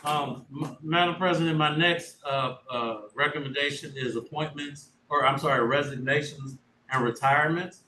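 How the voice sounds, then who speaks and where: Superintendent type, easygoing and upbeat, a bit higher-pitched, roomy echo, faint hiss. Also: male voice, fairly even intonation, moderate pace, sharp tone. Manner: casual, folksy, upbeat. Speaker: school superintendent. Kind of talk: board meeting remarks. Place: meeting room. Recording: echoey room, distant mic, faint noise.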